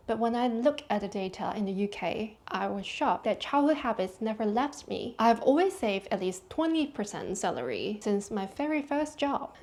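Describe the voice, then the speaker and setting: A woman's voice: medium pitch, darkish tone. A woman in her thirties from Hong Kong with a Hong Kong accent, a personal finance vlogger chatting casually to camera and laughing lightly between points. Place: London.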